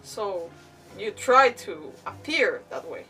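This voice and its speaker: A woman's voice: medium pitch, animated, slow pace, pushed and bright, far from the mic, poor audio. A woman game streamer giving casual live commentary, exclamatory and often stumbling as she reads aloud. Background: game music.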